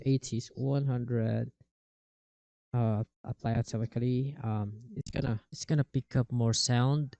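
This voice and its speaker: Flat-toned man explaining software, halting. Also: medium pitch, smooth, dry recording. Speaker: tutorial presenter. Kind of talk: casual screen-recorded software tutorial. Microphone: cheap microphone with software processing.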